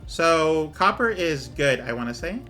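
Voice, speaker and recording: A man talks himself through his game's numbers, half to himself, in a casual running commentary. His voice is bright and a little higher than most men's, but it is wearing thin and hoarse. Soft music plays underneath. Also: animated, a little slow. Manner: muttering numbers while he plays, thinking aloud. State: losing his voice. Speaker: streamer.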